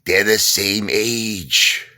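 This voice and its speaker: A man's voice: lowish voice, extremely slow. A middle-aged man from Brooklyn with a New York accent, chatting casually as host and rambling on with lots of fillers. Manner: rambling, animated storyteller with many fillers. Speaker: channel host. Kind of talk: casual chat.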